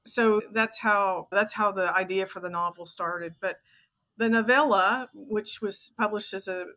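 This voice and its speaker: A woman's voice: mid-range voice, a little slow, sharp tone. A woman in her sixties from Texas with an American accent, talking conversationally as an interview guest. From Texas, United States. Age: 60s. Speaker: podcast guest, author and teacher.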